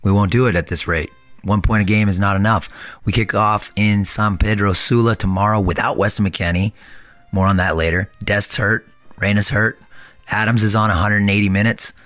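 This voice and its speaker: A hoarse man talking soccer on a podcast, blunt and analytical. His voice sits low and the recording is dry and close. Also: steady tone, normal speed, a bit bright, no echo, faint music behind. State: hoarse from shouting. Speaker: podcast co-host. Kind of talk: casual soccer podcast chat.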